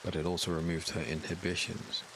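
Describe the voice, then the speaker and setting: A man narrating a scripted dark fantasy audiobook in a grave, dramatic storytelling manner. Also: low-pitched, level tone, a little fast, background noise.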